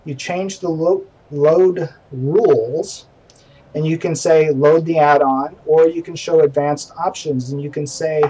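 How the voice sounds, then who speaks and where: A man's voice: on the high side, warm tone, distant mic, low-quality recording. Chatty man hosting a game stream. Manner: chatty, self-deprecating. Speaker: stream host. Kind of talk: casual game-stream chat. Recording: voice from away from the mic, faint music behind.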